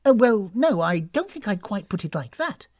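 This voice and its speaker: A woman's voice: lowish voice, sing-song, moderate pace, breathy edge, dry recording, studio quality, pitched above the performer's own voice. A woman voicing a friendly, flustered old lady who runs a spa, in an airy, hesitant, over-polite storybook manner. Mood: hesitant, concerned. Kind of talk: storybook character voice.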